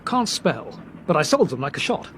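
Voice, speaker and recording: An actor playing a breezy, quick-witted young Englishman, jaunty and theatrical, in a British radio drama. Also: fairly high pitch, very animated, fairly bright tone, slight background noise. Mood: jaunty, teasing. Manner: jaunty, teasing, theatrical storytelling.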